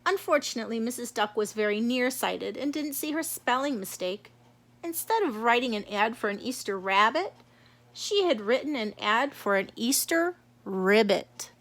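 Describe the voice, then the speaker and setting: A woman's voice: on the high side, animated, normal speed, fairly bright tone. A woman narrating a children's storybook as a read-aloud. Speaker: storybook reader.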